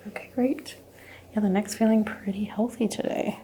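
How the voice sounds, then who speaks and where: A woman in a soft-spoken, often whispered ASMR roleplay as a chiropractor, giving gentle, reassuring instructions. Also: medium pitch, steady tone, moderate pace, a little hiss or hum, rough recording. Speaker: ASMR host playing a chiropractor.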